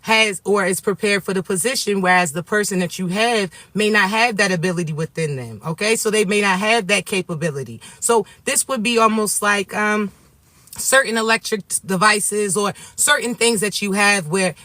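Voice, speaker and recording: A man with a raspy voice, sniffly from allergies, delivering a spiritual reading straight to his viewers and checking in with them often. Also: sits high, normal speed, no room sound. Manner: warm affectionate address to viewers, repetitive "okay?" check-ins. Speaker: spiritual reader and coach. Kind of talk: direct-to-viewer spiritual message.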